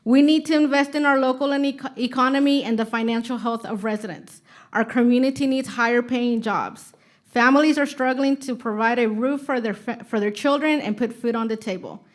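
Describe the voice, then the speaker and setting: A woman's voice: on the high side, steady tone, a little fast. A woman reading prepared public testimony as a commenter at a county hearing. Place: public hearing room in a courthouse.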